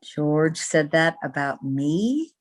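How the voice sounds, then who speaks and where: Woman as slow-speaking, lively romantic leading lady. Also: low-pitched. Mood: guarded, intrigued. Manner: measured, tender, ladylike. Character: poised, romantic newspaperwoman, smitten but proper. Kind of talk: old-time radio romance acting. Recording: video-call radio play recording.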